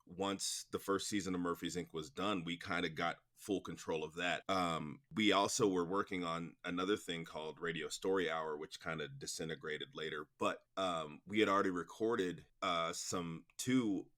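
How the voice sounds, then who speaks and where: A man's voice: low voice, steady tone, moderate pace, hardly any pauses, a bit bright. A man in his forties speaking casually as an interview guest, chatty and informal, thinking aloud as he goes. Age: 40s. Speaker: interview guest, production company president.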